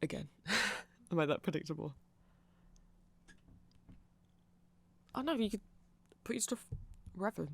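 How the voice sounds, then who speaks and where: Casting: performer as shy, nerdy vampire shop clerk, creaky mid-pitched voice, flustered, halting and rambling, intimate audio roleplay. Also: animated, fairly bright tone, heavily acted. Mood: nervously friendly. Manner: hesitant, flustered, stammering, apologetic. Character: nerdy, awkward, polite young-sounding vampire shopkeeper. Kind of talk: ASMR roleplay, rambling casual chat.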